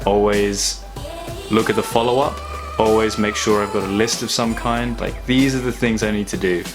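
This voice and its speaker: A young man talking casually and reflectively in a vlog, thoughtful and halting with long pauses. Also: lowish voice, fairly even intonation, music in the background. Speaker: vlogger.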